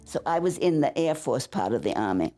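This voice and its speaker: A woman's voice: very deep voice, sing-song, a little fast, over music. A woman in her nineties with a New England accent, telling her own stories in a chatty interview. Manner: chatty storytelling with asides. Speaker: veteran interviewee. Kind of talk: reminiscing in an interview.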